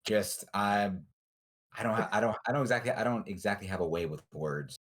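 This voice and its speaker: A man at a tabletop game, stumbling and restarting as he tries to explain himself. His voice is very deep. He sounds hesitant and awkward in a casual way. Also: animated, fairly quick, fairly bright tone. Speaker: player.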